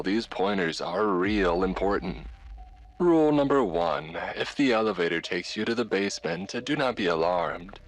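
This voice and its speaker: A man's voice: low-pitched, full character voice. Man putting on a chipper 1950s transatlantic film host. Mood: chipper, rehearsed. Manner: light, upbeat, practiced, oddly pausing salesman delivery. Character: cheerful 1950s hotel training-film host, eerily rehearsed. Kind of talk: 1950s instructional film presenting.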